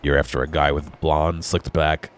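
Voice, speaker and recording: A congested man reading the lines of a stoic middle-aged male ex-gangster in a terse, flat way. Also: very deep voice, normal speed, slight background noise. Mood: stern.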